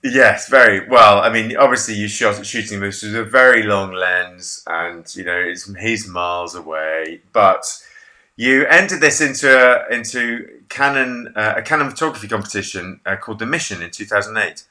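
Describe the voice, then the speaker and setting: A man's voice: on the low side, moderate pace, sharp tone. A man in his thirties hosting a casual video-call interview from a home office, chatty, jokey and self-deprecating. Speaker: interview host.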